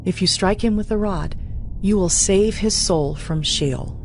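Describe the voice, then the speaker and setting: A woman with a mid-pitched voice narrates scripture as a dramatized audiobook. Her delivery is measured and instructive, with music underneath.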